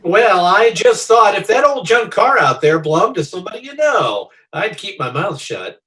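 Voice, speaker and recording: A man's voice: high voice, very animated, moderate pace, pushed and bright, full character voice. A man playing a chummy, earnest salesman in old-time radio comedy style, full of eager sales patter. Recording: distant from the microphone.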